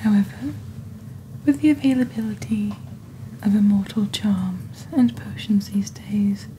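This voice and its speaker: A young woman playing a genteel witch shopping-channel host in soft-spoken ASMR, with a breathy near-whisper and a deliberate, gently formal delivery. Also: medium pitch, fairly even intonation, a little hiss or hum, lightly acted, rough recording. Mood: soft, soothing. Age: young adult. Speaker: ASMR performer.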